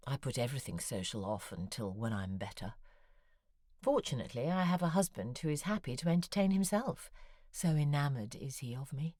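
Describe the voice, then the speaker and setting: An actress playing a wry, self-deprecating middle-aged novelist in an audio drama, articulate and musing, with a husky, breathy voice. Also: female voice, very deep voice, a little mellow, no room sound, clean studio sound. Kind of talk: audio drama acting, intimate spoken correspondence.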